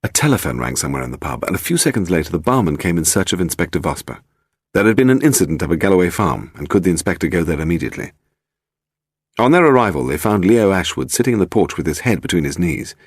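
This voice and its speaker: A man's voice: low-pitched, sing-song, fast talker, breathy edge, no echo, studio quality. A man with an English accent reading a scripted mystery story as an audiobook narrator.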